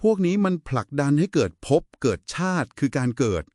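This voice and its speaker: A man's voice: on the high side, very animated, brisk, a little mellow, no room sound, studio quality. A man giving a polite, didactic explanation in a conversational discussion of Buddhist scripture. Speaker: explainer in a two-person discussion.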